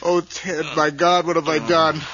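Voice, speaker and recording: A man's voice: fairly high pitch, level tone, moderate pace, close to an everyday voice. An actor playing a haunted, frightened man losing control of himself, tense and faltering in a vintage radio drama. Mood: shaken, pleading. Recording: muffled, noisy vintage radio recording.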